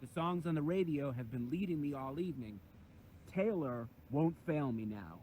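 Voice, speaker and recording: A man playing a laid-back, eccentric area man who talks calmly and a little haltingly. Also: a little high, a little hiss or hum, natural voice. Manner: calm, unbothered, halting. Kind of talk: comedic sketch acting.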